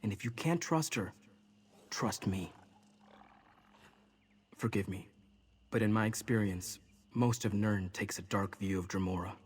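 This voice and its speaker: An actor playing an earnest, steady male mage in a fantasy game, explaining things calmly to companions. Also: fairly low pitch, unhurried, pauses often, breathy edge. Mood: earnest, reassuring.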